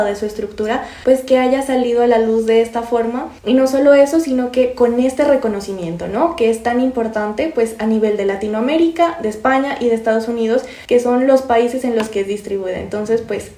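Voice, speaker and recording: A young Colombian woman from Pasto with a Pasto accent, chatting excitedly as a book podcast co-host. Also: a little high, echoey room. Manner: excited, chatty, fillers like "o sea", "pues". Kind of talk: casual book-discussion podcast.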